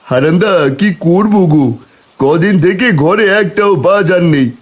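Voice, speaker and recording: A man's voice: sits high, moderate pace. A man voicing a poor, despairing village man in a cartoon, pleading plaintively with a Bengali accent. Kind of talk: cartoon dialogue acting.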